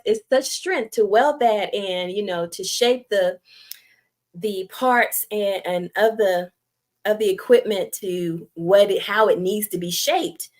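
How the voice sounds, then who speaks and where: A middle-aged woman with a Southern US accent and a light, youthful voice, chatting casually with viewers on a live stream and laughing often. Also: mid-range voice. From United States. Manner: chatty, giggly, self-deprecating, reads viewer comments aloud.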